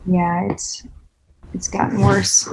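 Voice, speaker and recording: A woman's voice: lowish voice, flat delivery, slow, darkish tone, slight background noise, low-quality recording. A woman acting a tough, weary, guarded single mother in a naturalistic screenplay read, blunt and sardonic. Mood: weary, worried. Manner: blunt, sardonic, plain-spoken.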